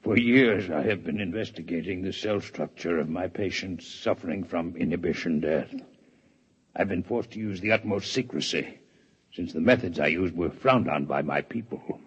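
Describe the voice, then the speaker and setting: An actor playing a proud, formal alien male scientist in a 1950s radio drama, with measured, precise diction. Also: low-pitched, breathy edge. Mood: grave. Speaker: alien doctor in a radio play. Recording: dull vintage radio recording.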